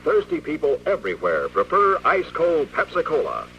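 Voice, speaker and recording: An actor playing an eager, polished announcer in a 1950s radio commercial. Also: male voice, on the high side, wildly swooping pitch, normal speed, slight background noise. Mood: upbeat. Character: eager, polished soft-drink pitchman. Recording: distant, dull old radio recording.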